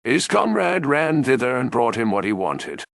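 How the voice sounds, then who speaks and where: A man narrating a bedtime fairy tale as a storyteller, in an expressive, deliberate way. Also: mid-range voice, vocal fry, dry recording, studio quality.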